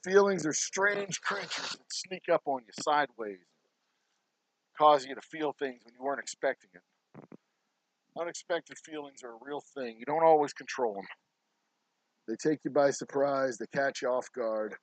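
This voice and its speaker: A middle-aged man with a sore, hoarse throat, talking bluntly and swearing freely while he bottles whiskey at his distillery. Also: mid-range voice, moderate pace, poor audio. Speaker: distillery owner. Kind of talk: casual vlog monologue while working.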